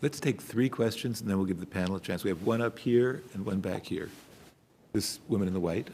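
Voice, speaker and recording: Male moderator, low gravelly voice, easygoing; faint room hum. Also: brisk, darkish tone. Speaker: panel moderator. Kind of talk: moderating a panel. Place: conference room.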